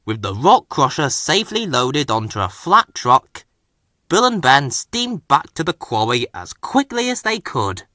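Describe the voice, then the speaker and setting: Guy narrating a kids storybook, super animated, bright voice, dry recording. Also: mid-range voice, a little slow, no echo. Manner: expressive, dramatic storytelling. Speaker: storybook reader. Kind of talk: scripted children's story narration.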